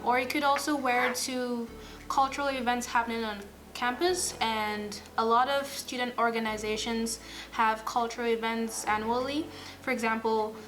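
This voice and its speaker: A young woman from Ghana, an international student, casually explaining what clothes to pack with relaxed, chatty fillers. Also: on the high side, a little hiss or hum. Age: young adult. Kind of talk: casual informational video. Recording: roomy, mic at a distance, music underneath.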